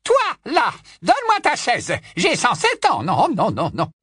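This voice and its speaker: Brief: shrill, cackling ancient aunt, swooping wildly in a dry, close booth. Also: male voice, wildly swooping pitch, unhurried, fairly bright tone, husky, no echo, exaggerated voice. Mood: gossipy. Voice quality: shrill, high squawk, endlessly repeating "non, non, non". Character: cackling, nosy, ancient aunt, 107 years old. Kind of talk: storybook reading.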